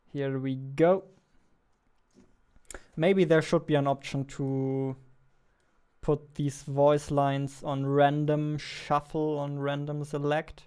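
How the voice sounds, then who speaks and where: A man's voice: a little high, a little mellow, clean tone. A young man, a game developer, chatting casually while he codes, thinking aloud and joking at himself. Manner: thinking aloud, self-deprecating, chuckling. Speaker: game developer streaming his work. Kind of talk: casual live-stream chat while coding. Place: home room at a desk.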